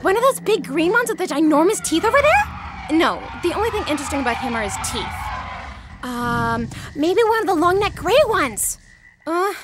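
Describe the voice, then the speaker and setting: A woman playing a vain, fussy young alien tourist who chatters petulantly in sci-fi audio theatre. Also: sits high.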